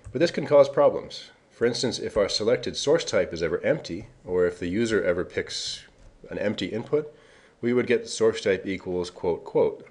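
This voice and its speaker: A man's voice: low-pitched, darkish tone. A man giving a casual screencast tutorial, explaining configuration code and correcting himself now and then. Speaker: software developer presenting a tutorial. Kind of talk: screencast walkthrough.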